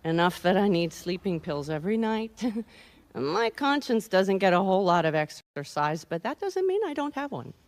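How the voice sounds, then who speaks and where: A woman's voice: mid-range voice, big pitch swings, normal speed, lightly acted. Radio mystery client played by a woman: cynical, blasé wife, brazen and worldly, with widely swooping delivery. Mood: blasé, sardonic. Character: brazen, cynical, wisecracking wife hiring a private detective.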